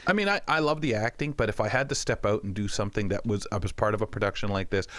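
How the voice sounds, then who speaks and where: A man's voice: lowish voice, fast talker, fairly bright tone. A man hosting a casual panel chat, putting questions to others and giving his own take. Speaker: podcast host.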